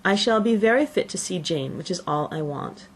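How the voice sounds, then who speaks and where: Woman reading as a determined young woman, lowish voice. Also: expressive, normal speed. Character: spirited, stubborn young woman, determined daughter. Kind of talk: audiobook character voice.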